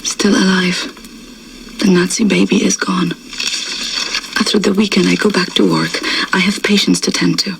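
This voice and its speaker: An actress playing a bitter, haunted wartime resistance woman in her thirties, reading her secret diary in a hushed, breathy, deliberate deadpan for a video game. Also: medium pitch, recorded from a distance, rough recording. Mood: grim, pained. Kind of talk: dramatic scripted video game audio diary.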